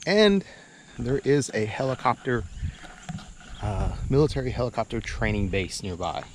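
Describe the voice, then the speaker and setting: A man's voice: medium pitch, animated, normal speed. A middle-aged man chatting casually and in a rambling, laid-back way to viewers as he vlogs outdoors at a campground. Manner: laid-back, rambling, chuckles while talking. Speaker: vlog host. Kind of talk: casual outdoor vlog chat. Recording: outdoor noise, birds and insects.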